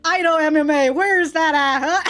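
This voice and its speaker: A woman's voice: high-pitched, normal speed, a bit bright. A woman giving casual, rambling reaction commentary as a host, with a giggly, slangy way of talking and some swearing. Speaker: anime reaction host and reviewer.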